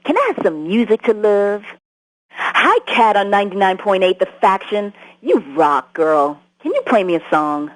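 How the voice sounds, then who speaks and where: A woman's voice: mid-range voice, big pitch swings, moderate pace, lightly acted, rough recording. A woman playing an eager young female radio caller who makes sing-song fan requests. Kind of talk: scripted game voice lines.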